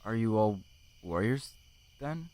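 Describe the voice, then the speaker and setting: Naive young pet tomcat played by a man, low-ish voice, hesitant and full of questions, for an animal audio drama. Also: lively intonation, takes it slowly, darkish tone. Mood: curious. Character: curious, naive young male house cat. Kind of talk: audiobook fandub acting.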